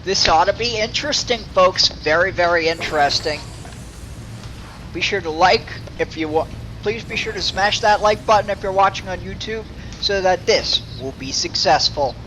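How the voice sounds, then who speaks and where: Guy doing casual game commentary, pretty high bright voice, bit of background noise. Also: normal speed, rough recording. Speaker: gaming video host.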